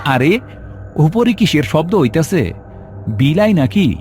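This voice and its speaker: Guy playing village watchman in spooky cartoon, slow and groany, bangladeshi country accent, faint music. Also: on the high side, big pitch swings, a little mellow. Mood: uneasy. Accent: rural Bangladeshi. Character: village watchman, male, boastful but jittery.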